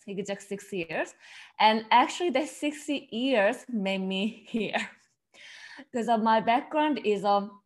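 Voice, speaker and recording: A woman from South Korea with a Korean accent, an interview guest telling her personal story earnestly in halting, repetitive non-native English. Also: medium pitch. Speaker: podcast guest, coach.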